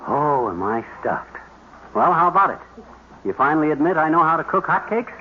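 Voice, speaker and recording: A blustery, boastful bumbling husband. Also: male voice, a little high, very animated, normal speed. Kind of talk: 1950s radio comedy acting. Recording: dull, hissy old radio broadcast recording.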